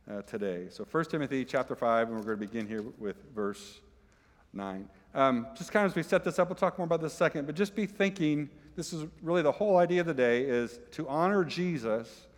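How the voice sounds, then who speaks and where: A male preacher delivers a relaxed, conversational sermon, joking with the congregation and throwing questions out to them. His voice sits in an ordinary middle range. A long hall reverb from the church trails every phrase. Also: mid-range voice. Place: church sanctuary.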